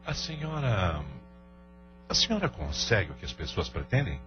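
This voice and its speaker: A man's voice: on the low side, very animated, moderate pace. A man playing a troubled, evasive businessman and father in an audio drama, with a hesitant, formal manner. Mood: evasive, probing. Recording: dull lo-fi audio with music underneath.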